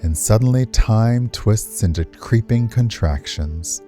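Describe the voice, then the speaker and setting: A man's voice: fairly low pitch, animated, slow, dark tone, soft background music. A man reading visual novel text aloud expressively as a game streamer, slipping into casual commentary.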